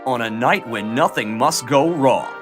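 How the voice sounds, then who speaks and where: A man's voice: medium pitch, expressive, unhurried, music in the background, natural voice. A man voicing a dramatic, portentous trailer announcer in scripted comedy narration.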